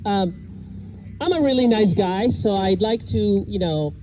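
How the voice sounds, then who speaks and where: A middle-aged man from Iraq doing a self-deprecating stand-up set with deadpan punchlines, outdoors in a park. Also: sits high, unhurried, rough recording. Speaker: stand-up comedian. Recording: outdoor noise and passing traffic. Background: park ambience and vehicles.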